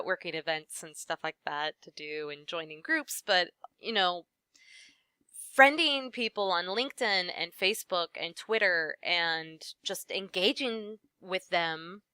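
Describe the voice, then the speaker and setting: A woman with a low-set but bright, crisp voice talks through advice in a relaxed interview. She weighs her points as she goes, and her sentences often fade softer at the end. The sound is close and dry, with no room around it. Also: no echo. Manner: thoughtful, advising, trails off softly at ends. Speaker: voice actress, guest. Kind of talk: casual interview chat.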